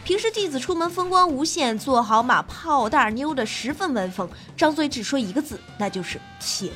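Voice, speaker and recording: A woman's voice: sits high, animated, moderate pace, a bit bright. A woman host reading scripted gaming narration in a playful, dramatic storytelling manner. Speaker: game show host and streamer. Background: background music.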